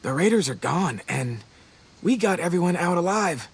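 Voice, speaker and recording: A man playing a warm, sentimental teenage boy survivor in a video game, casual and heartfelt in delivery. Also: sits high, animated, normal speed, a little hiss or hum. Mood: relieved, tender. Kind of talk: naturalistic video game voice acting.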